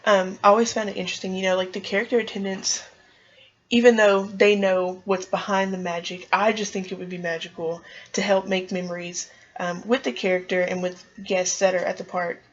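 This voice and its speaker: A woman's voice: medium pitch, little pitch movement, a little fast, muffled recording. A young woman chatting eagerly and casually as an applicant answering questions in a job interview. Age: young adult.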